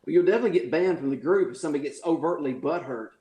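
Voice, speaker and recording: A man's voice: fairly high pitch, lively intonation, very fast, mellow, echoey room. A man in his forties, a podcast guest, telling crude stories and laughing in casual chat. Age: 40s.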